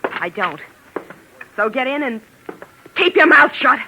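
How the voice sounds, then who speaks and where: A woman's voice: high voice, sing-song, measured pace, full character voice. An actress playing a desperate, defiant wife in a 1940s radio drama, urgent and melodramatic in her delivery. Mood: threatening. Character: desperate, defiant young wife shielding her criminal husband. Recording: noisy old 1940s radio recording.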